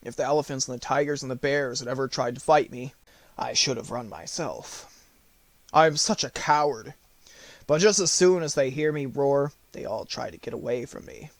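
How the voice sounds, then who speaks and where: A man's voice: medium pitch, moderate pace, no room sound, lightly acted. Male reader as big cowardly storybook lion, sorrowful, self-pitying, confessing, dry close sound. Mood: sad, confessing.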